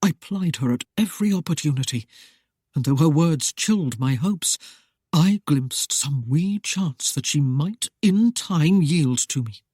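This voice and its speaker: Woman reading nobleman, deep, measured, formal drawl, dry sound. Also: lively intonation, warm tone, breathy edge, no room sound, exaggerated voice. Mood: earnest. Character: brooding nobleman, calm, drawling and self-assured.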